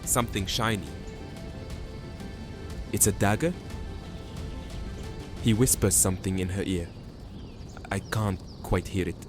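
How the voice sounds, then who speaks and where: A man as a halting, watchful narrator over music. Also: low-pitched. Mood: tense watching. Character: watchful hidden observer, troubled chosen one, resolute. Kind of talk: first-person dramatic narration. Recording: music behind the voice.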